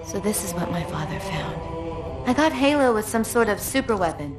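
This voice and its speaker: A determined officer, acted by a woman, gives orders in a mid-pitched, crisp voice. She is brisk and commanding, a video game cutscene figure with music behind her. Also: sing-song, lightly acted. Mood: questioning.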